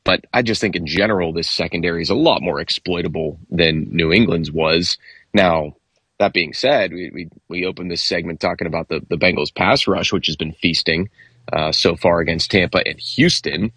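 Deep husky-voiced man breaking down football. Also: a bit bright, dry recording. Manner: measured, analytical, thinks aloud. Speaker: football analyst. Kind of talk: sports talk show discussion.

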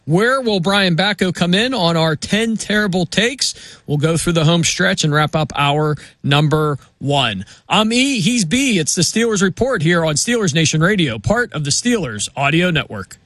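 A man's voice: a little high, expressive, normal speed, fairly bright tone, no room sound. A man hosting a sports radio show, talking in an upbeat, casual way and laughing easily. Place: radio studio.

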